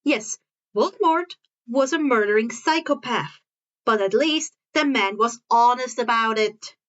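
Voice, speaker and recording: High-pitched woman narrating a story. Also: a little slow, a bit bright, dull audio. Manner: stumbles and rereads phrases. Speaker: fan fiction narrator. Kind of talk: amateur scripted story narration.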